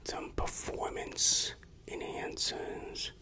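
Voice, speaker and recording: Hushed, slow ASMR whisperer. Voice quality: whispered, breathy. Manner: hushed, confiding, deliberate. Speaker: ASMR creator playing a shady dealer. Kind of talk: ASMR roleplay.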